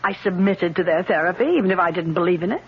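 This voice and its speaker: A woman's voice: lowish voice, racing, breathy edge. An actress playing a concerned, blunt and sceptical older woman in a radio drama, with a probing, emphatic way of talking. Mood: bitter, stubborn. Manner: probing, plain-spoken, emphatic. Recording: dull, noisy vintage radio drama recording.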